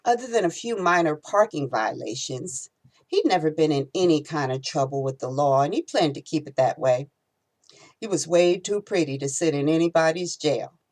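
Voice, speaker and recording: A woman reading her own novel aloud in expressive storytelling narration. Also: sits low, normal speed.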